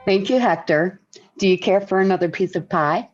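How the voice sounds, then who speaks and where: A woman's voice: lowish voice, moderate pace, a little mellow, faint music behind, lightly acted. Lady doing an old radio sitcom mom, polite, tactful, a bit hesitant, muffled lo-fi sound. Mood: gracious, tactful. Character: gracious, tactful suburban mother and hostess. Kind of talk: 1940s radio sitcom acting. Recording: dull, lo-fi 1940s radio recording.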